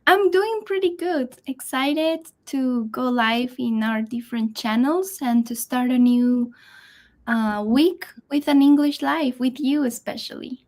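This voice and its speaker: A woman's voice: sits high, a little slow, darkish tone. A woman who grew up in Bolivia and speaks English as a second language, co-hosting a casual live Q&A in a bubbly, chatty way. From born in Peru, raised in Bolivia. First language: Spanish. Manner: bubbly, chatty, laughs often. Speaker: co-host, marketing staff. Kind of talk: casual livestream Q&A chat.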